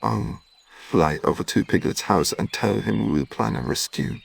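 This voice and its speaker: A man's voice: lowish voice, measured pace, creaky, a little hiss or hum. A man playing a kindly, encouraging young boy in a children's story. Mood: resolute.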